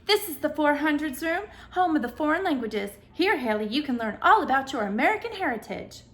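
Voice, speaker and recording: Woman doing a booming jolly announcer. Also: big pitch swings, a little fast, full character voice. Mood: welcoming. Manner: loud, hearty.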